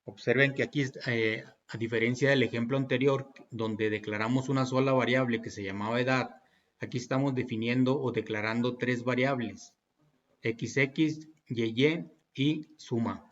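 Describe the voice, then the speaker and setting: A man's voice: mid-range voice, steady tone, normal speed, muffled recording. A man teaching programming in a tutorial, walking through each step patiently with fillers and self-corrections. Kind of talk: screen-recorded tutorial walkthrough.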